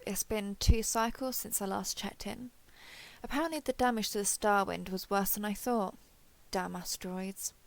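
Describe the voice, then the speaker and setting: Creaky-voiced, wry starship captain played by a woman. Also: medium pitch, fairly even intonation, normal speed, lightly acted. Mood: wry, resigned. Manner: sardonic, grumbling, casual spoken asides. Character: wry, weary alien spaceship captain, explorer, awed by discovery. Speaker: audio drama performer. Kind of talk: acted internal monologue as captain's log.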